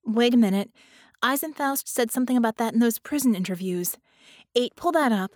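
Woman acting an intense, urgent young woman, higher-pitched. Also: fairly quick, no room sound, studio quality, close to an everyday voice. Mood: excited, urgent. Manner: excited, urgent, thinking out loud. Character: intense, quick-thinking young female magician hacker. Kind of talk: audiobook character voice.

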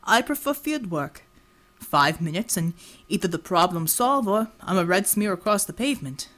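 A woman voicing a strong, calm male field chief in an audiobook, with a measured, dry and understated way of talking. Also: medium pitch, lightly acted. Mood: wry.

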